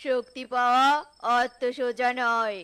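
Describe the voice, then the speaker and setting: A woman's voice: little pitch movement, a little slow, a bit bright, clean tone. Woman voicing a sly old fake holy man. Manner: commanding, condescending, booming laugh. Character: sly greedy old male holy man, smug fraud.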